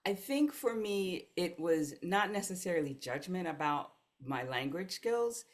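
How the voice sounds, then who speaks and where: A woman's voice: on the low side, lively intonation, measured pace. An American woman, a life coach, telling her story as a podcast guest in an earnest, reflective way. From United States. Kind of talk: podcast conversation.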